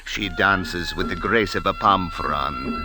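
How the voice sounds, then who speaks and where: A man's voice: deep voice, slow pace. A man playing a genial, insistent desert sheikh, speaking in courtly phrases in old radio style. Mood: courtly, persuasive. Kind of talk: old-time radio acting. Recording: vintage radio drama, music behind.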